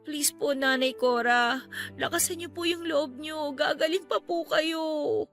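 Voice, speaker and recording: A woman's voice: high voice, a little slow, fairly bright tone, soft background music. A woman playing a devoted teenage girl in a Tagalog radio drama, speaking respectfully with earnest emotion. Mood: pleading, tearful. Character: devoted, pleading teenage girl caring for her sick nanny.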